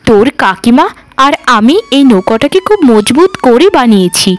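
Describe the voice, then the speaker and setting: A woman's voice: fairly high pitch, very animated, moderate pace. A woman playing a protective, plainspoken village mother in a cartoon, with an assured manner. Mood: reassuring. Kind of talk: Bengali cartoon dub acting.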